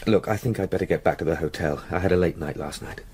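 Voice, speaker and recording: An actor playing a courteous, earnest young English solicitor in a period gothic radio drama, well-spoken and polite. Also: male voice, low voice, fast talker, a little mellow, breathy edge. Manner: polite, well-spoken, talks fondly to a dog.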